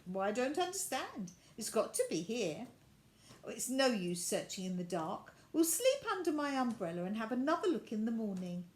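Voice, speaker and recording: A woman puts on a brisk, cheerful lady from a children's storybook. Her voice is high and animated, with an encouraging, practical, unflappable manner. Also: echoey room. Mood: reassuring.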